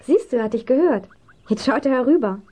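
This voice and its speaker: A woman's voice: high-pitched, animated, brisk, dark tone. A woman playing a cautious, home-loving girl who talks in a questioning, conversational way in a children's radio play. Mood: relaxed, reluctant. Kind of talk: German children's radio play acting, 1970s.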